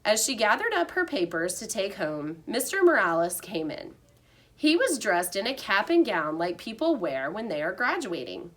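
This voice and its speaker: A woman reading a children's storybook aloud like a teacher, with expressive narration. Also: mid-range voice, normal speed.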